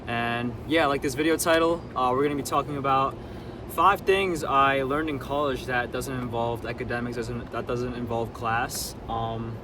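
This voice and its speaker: A young man in his early twenties talks straight to the camera in a loose, reflective way. He rambles, stumbles over his words, and fills his pauses with lots of "um"s. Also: medium pitch, fairly even intonation, background noise. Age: 20s. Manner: rambling with many "um"s and restarts, self-aware and laughing at himself. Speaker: vlogger. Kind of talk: casual talking-to-camera reflection.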